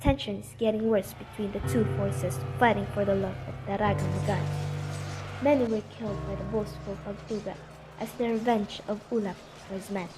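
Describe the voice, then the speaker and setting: A woman's voice: medium pitch, steady tone, a little fast, rough recording. A woman giving scripted storytelling narration for an amateur radio drama. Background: music.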